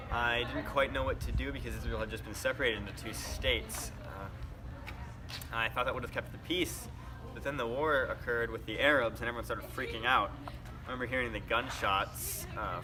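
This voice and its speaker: A man's voice: medium pitch, background noise, close to an everyday voice. A man playing a nervous Israeli citizen hesitantly recalling wartime fear in a scripted interview.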